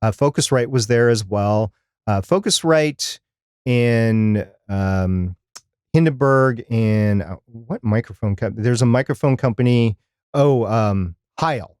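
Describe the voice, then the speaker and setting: A man's voice: mid-range voice, takes it slowly, darkish tone, clear, resonant tone, dry recording, studio quality. A middle-aged man co-hosting a podcast, talking casually and unscripted in a rambling, conversational way. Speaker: podcast co-host. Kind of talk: casual unscripted live chat.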